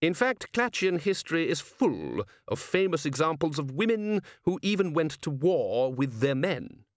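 A man acts out an urbane, commanding city ruler from a storybook. His speech is formal and measured with a deadpan wit, and the sound is dry and close. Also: on the high side, no room sound, voice pushed up. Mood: dryly lecturing.

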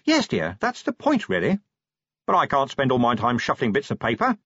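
A man voicing a calm upper-class lady who speaks in a mild, patient and gently knowing way. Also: mid-range voice, normal speed, breathy edge, no room sound, heavily acted. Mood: patient, wry. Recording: dry, slightly dull close-mic.

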